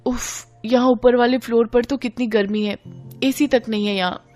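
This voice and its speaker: A woman's voice: on the high side, steady tone, normal speed, darkish tone, soft background music, pitched above the performer's own voice, natural voice. A woman voicing a spoiled, blunt young married woman with a breezy, pert manner in an audio story. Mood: complaining.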